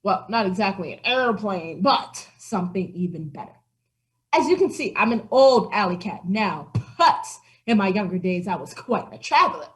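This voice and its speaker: A woman voicing an old female alley cat who complains with whiny, drawn-out sighs. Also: medium pitch, expressive, close to an everyday voice. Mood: storytelling. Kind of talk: children's story acting.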